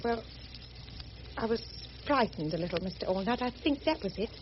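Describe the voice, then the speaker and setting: A prim missionary spinster, played by an actress in a radio play, earnest and quietly resolute. Her voice is on the high side and properly spoken, heard through a dull recording with slight noise. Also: lively intonation, moderate pace, lightly acted. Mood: earnest, shy. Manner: proper, earnest, gently insistent. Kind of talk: radio drama acting. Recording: muffled, noisy old radio recording.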